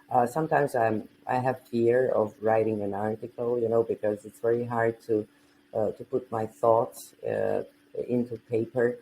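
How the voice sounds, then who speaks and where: A host leading a panel discussion, who pauses and searches for words. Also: mid-range voice, mellow, slight background noise, poor audio.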